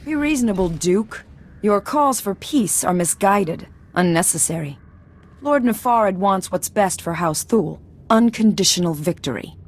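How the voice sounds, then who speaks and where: A woman's voice: mid-range voice, expressive, moderate pace, lightly acted. An actress playing a cold, haughty noblewoman villain in a video game, threatening and fanatical. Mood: insistent. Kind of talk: dramatic video game acting.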